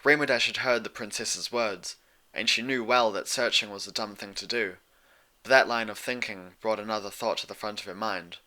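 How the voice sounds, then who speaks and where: A man reading fiction aloud as an expressive scripted story narrator. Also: lowish voice, moderate pace.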